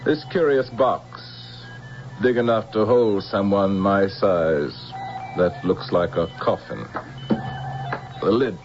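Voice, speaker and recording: A dull vintage radio recording with music carries a scheming general. His voice is low, and his polished, formal speech is soulless and full of threat. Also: slow pace. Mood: suspicious. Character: menacing, soulless, suave male general scheming for power. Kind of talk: 1970s radio drama acting.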